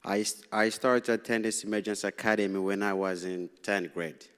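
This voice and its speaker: A young man from Tanzania whose first language is Swahili, reading a prepared speech as a student representative at a public meeting, halting and nervous, with frequent restarts. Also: lowish voice, steady tone, fairly bright tone, clear, resonant tone. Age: young adult. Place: large city hall meeting room. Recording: long reverb in a council chamber.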